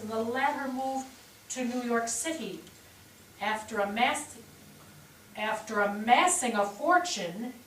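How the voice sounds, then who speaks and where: A woman's voice: fairly high pitch, a little hiss or hum, lightly acted. A woman playing the earnest, insistent grown daughter of a widow in a theatrical staged reading. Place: theatre stage, picked up from far off. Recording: distant, echoey theatre.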